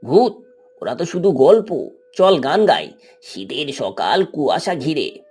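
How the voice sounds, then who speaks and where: A man playing a brave, apologetic young village boy with a husky voice in a cartoon moral story. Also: a little high, sing-song, unhurried, darkish tone, faint music behind, exaggerated voice. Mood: dismissive, cheering.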